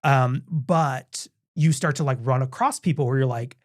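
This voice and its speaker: A man's voice: medium pitch, moderate pace. A man co-hosting a podcast, chatting casually about a book with many restarts, fillers and laughs. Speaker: podcast co-host.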